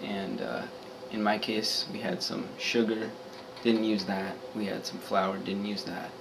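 Young man, low gravelly voice, loose rambling home-kitchen host, noisy camera audio. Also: a little slow. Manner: rambling and offhand, with many fillers. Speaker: home cooking show host. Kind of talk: casual home cooking demo. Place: small home kitchen at night. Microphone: handheld camera mic, close.

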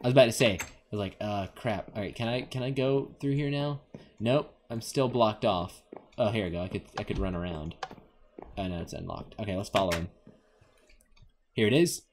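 A man giving casual, rambling playthrough commentary as a gaming host, jokey and excitable. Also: on the low side, normal speed, slight background noise.

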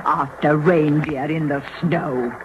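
A woman's voice: low-pitched, fairly even intonation, takes it slowly. An actress playing an exasperated old peasant mother, scolding her son theatrically in a 1940s radio drama. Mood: accusing. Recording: muffled 1940s radio broadcast, faint noise.

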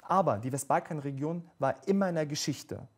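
Fast-talking young man, husky voice. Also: a little high. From Germany. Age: young adult. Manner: fast, eager storytelling with animated hand gestures. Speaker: politician guest. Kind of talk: conversational TV interview. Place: TV studio sofa set. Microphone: lapel.

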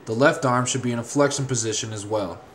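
A man reading a scripted instructional narration in a measured, formal, step-by-step way. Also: medium pitch, a bit bright.

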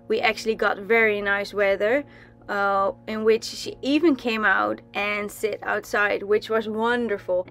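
A woman's voice: mid-range voice, fairly bright tone. Chatty young woman narrating a vlog. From Netherlands. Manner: chatty, with many ums and restarts. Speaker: sailing vlogger. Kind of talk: casual voice-over narration.

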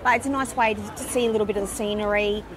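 A woman's voice: mid-range voice, fairly even intonation, rapid-fire, crowd noise. A woman vlogging a city walk with her husband, chatting in a playful, easygoing way. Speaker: travel vlogger. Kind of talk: casual walking-tour chat. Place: outdoors on a city street.

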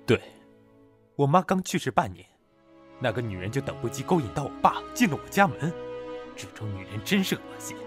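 Young man acted by a male performer, wide expressive pitch swings, cool yet devoted, earnest, audio drama with music behind. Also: a little fast, pauses often, natural voice. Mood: cold, scornful. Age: young adult. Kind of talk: Chinese audio drama acting.

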